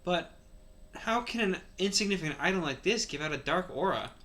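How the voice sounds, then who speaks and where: A man's voice: sits high, lively intonation, brisk, pushed and bright. A man voicing an eager, questioning teenage boy gamer in a story read aloud. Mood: puzzled, concerned. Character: excitable teenage boy, gamer and creature trainer.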